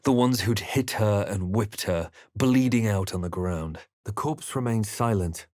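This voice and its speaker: A man playing a grave, watchful fantasy adventurer in a video game, narrating in the first person with measured, dramatic delivery. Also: on the low side, a little mellow, dry recording, studio quality, close to an everyday voice. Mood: grave narration.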